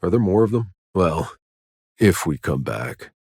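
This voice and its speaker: A man playing a gruff, wry, battle-worn soldier in a sci-fi video game. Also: low voice, sing-song, measured pace, a little mellow, no room sound, studio quality, exaggerated voice. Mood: sardonic, casual. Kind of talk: video game voice lines, dramatic acting.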